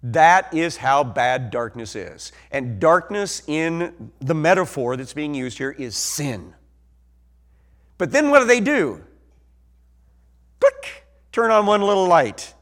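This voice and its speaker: Ozark-accented male preacher, high lively voice, slow deliberate delivery, sermon with big dynamic swings. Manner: animated, swings from soft to loud emphasis.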